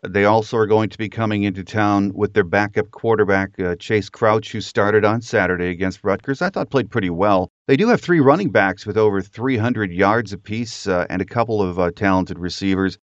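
Low-voiced American man hosting sports chat. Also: moderate pace, few pauses, no room sound. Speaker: sports podcast host. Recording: slightly dull, lo-fi sound.

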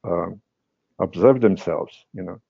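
Halting, gravelly man, native Portuguese speaker. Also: deep voice, dark tone. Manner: halting, many fillers and restarts. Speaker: psychotherapist guest. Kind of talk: casual interview talk. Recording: dull lo-fi audio.

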